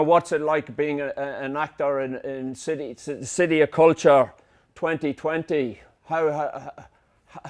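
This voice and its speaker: A man interviewing filmmakers, asking questions in a hesitant, stumbling way. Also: a little high. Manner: hesitant, stumbling over words.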